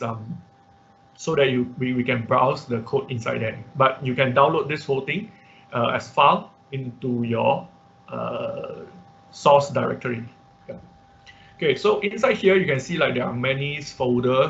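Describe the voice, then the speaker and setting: Man, non-native English speaker, online tutorial, mid-pitched voice, halting step-by-step explanation, frequent check-in tags, muffled lo-fi sound. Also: creaky, a bit bright. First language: not English. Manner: halting, repeats words, tags sentences with okay and right. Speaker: online class instructor. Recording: dull, lo-fi online class audio.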